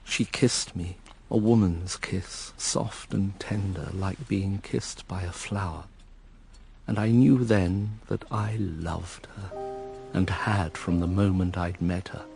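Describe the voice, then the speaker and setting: Reflective gentleman storyteller, fairly low pitch, melancholy, measured first-person radio drama narration, faint music. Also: fairly even intonation, vocal fry, exaggerated voice. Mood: wistful, sombre. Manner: measured, wistful, understated.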